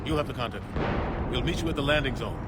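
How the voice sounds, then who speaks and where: An actor playing a grizzled older admiral in a video game, commanding and terse, urgent and resolute. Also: male voice, medium pitch, very fast, very noisy, close to an everyday voice. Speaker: military commander in battle. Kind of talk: video game cinematic acting. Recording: loud music over the voice.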